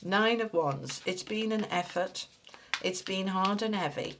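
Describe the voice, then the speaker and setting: A woman's voice: mid-range voice, expressive, measured pace, noisy background. A woman with a cold, sounding slightly nasal and congested, giving a reassuring spoken tarot reading and often checking in with "all right?". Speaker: tarot reader.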